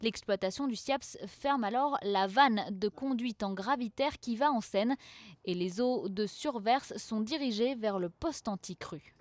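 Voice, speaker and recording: A woman reads a scripted informational narration in a measured, factual way. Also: on the high side, a little mellow. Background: music.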